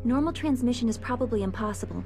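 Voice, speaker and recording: A woman playing an earnest, helpful young radio technician in a video game, giving brisk, informative advice. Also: fairly high pitch, expressive. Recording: music behind the voice.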